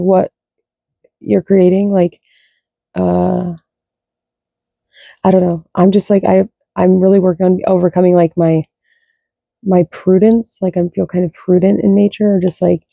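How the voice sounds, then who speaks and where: Woman with a deep, dark voice and a dry, close sound, reading cards in loose, sweary stream-of-thought chat. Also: flat delivery, normal speed, gravelly, pauses often, no room sound, muffled recording. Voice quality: low voice. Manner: loose, profane, thinks aloud. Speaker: card reader. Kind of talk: casual rambling spiritual chat.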